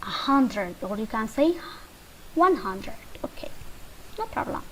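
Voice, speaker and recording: Woman teacher, high bouncy voice, very slow drills, faint background hiss. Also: big pitch swings, a bit bright, low-quality recording. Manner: slow, drawn-out drilling with repetition. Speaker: English teacher. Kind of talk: slow beginner English lesson.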